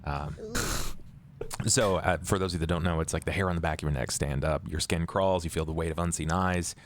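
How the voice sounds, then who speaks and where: A deep-voiced man talks as a player in a tabletop game. His delivery is casual and halting, with words often repeated. Faint noise runs behind him. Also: steady tone. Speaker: player in an actual-play podcast. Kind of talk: casual tabletop roleplay chat.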